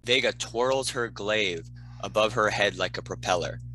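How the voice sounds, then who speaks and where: A man's voice: lowish voice, unhurried, sharp tone, a little hiss or hum. A man reading scripted stage directions as a narrator.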